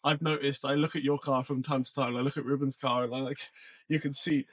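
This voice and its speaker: A man co-hosting a podcast, chatting casually and telling stories in a rambling, animated way. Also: mid-range voice, a little fast, no room sound. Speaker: podcast co-host. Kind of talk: casual podcast chat about off-road adventures.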